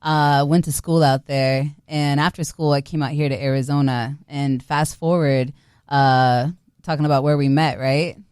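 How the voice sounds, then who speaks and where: A woman's voice: deep voice, steady tone, normal speed, darkish tone, smooth, no echo, clean studio sound. An American woman chatting casually as a musician guest in a radio interview. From United States (Indiana and Pennsylvania). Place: radio studio.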